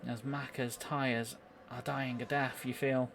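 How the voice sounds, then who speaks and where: A man giving live play-by-play commentary on an online racing game, a little halting as he thinks through the action. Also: mid-range voice, little pitch movement, vocal fry, a bit bright, a little hiss or hum.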